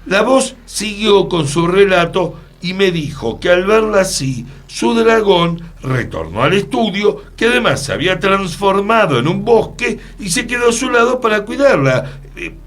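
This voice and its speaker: An actor playing an earnest, mysterious storyteller in a radio play, pausing for dramatic effect. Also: male voice, high-pitched, very animated, a bit bright. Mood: grave storytelling. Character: earnest, mysterious male storyteller recounting an enchantment. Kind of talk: radio theatre acting.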